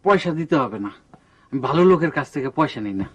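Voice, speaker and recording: A man's voice: medium pitch, lively intonation, fast, slightly rough. An actor playing a good-natured, half-mad village man in a comic drama, rambling earnestly in rural Bangladeshi Bengali. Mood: playful.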